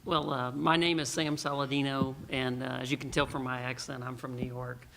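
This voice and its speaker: A man's voice: medium pitch, moderate pace, creaky, slight background noise. A man from Texas giving a conference talk, joking and telling stories. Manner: joking, storytelling, lively. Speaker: panel speaker. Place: conference hall.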